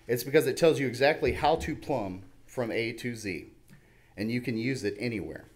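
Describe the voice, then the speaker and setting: A man's voice: mid-range voice, normal speed. A middle-aged man reading a prepared public comment at a podium microphone in a council chamber. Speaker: public commenter, plumbing instructor. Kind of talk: prepared public statement read aloud. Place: large indoor council chamber. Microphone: podium gooseneck microphone.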